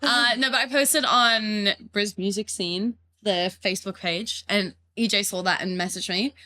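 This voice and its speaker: Bright-voiced young woman. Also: medium pitch, a little slow. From Ireland. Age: young adult. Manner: easygoing, chatty, laughs along. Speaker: band member, interview guest. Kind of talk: casual interview chat.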